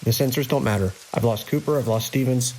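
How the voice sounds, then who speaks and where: An actor playing a grim, commanding security chief in a horror audio drama, giving terse, urgent orders. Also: male voice, mid-range voice, fast, a little mellow, close to an everyday voice, rough recording. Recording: constant hiss and rain-like noise.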